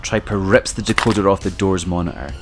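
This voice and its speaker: A man's voice: sits low, quick pace, soft background music. A man giving scripted narration of the action in an audio drama. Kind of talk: scripted present-tense story narration.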